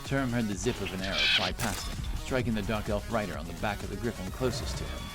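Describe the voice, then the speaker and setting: A man's voice: lowish voice, wildly swooping pitch, fast talker. A man delivering scripted narration for a fantasy audio drama in a dramatic storytelling manner. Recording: very loud music behind.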